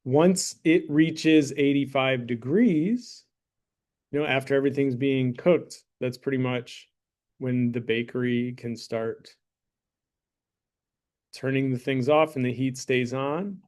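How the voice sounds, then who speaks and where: A man teaching math to a high school class, talking through problems in a casual, joking way and checking in with the students as he goes. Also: slow, darkish tone. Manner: joking, conversational, checks in with "right?" and "okay?". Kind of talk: classroom lesson with a mock sponsor plug.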